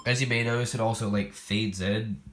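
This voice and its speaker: Male hobbyist presenter, low voice, casual demo style, thinking out loud, clearly noisy recording. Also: fairly even intonation, moderate pace. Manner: rambling, thinking aloud. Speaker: collector presenting weather radios. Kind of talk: casual product demo vlog.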